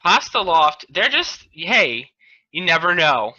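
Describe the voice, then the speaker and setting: Bright, higher-pitched American man on video call. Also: very animated, slow pace, no room sound. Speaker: podcast co-host and local chamber of commerce chairman. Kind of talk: casual group banter. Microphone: video call microphone. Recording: dull, band-limited video call audio.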